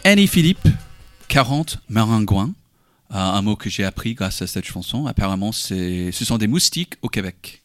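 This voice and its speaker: Hesitant low-voiced man, non-native speaker. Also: animated, moderate pace, a bit bright, faint music behind. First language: not French. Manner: hesitant, many fillers. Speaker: radio co-host. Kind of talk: casual music chat.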